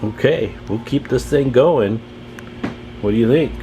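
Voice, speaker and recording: A man's voice: mid-range voice, measured pace, mellow, a little hiss or hum, low-quality recording. A man talking informally and thinking aloud as he gives a casual hands-on demonstration as a radio repair hobbyist.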